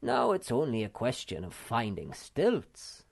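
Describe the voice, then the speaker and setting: A man voicing a gentle young male wanderer in a storybook reading, given a high, lamenting voice. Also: wildly swooping pitch, unhurried, gravelly, full character voice. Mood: eager. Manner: mournful, lamenting.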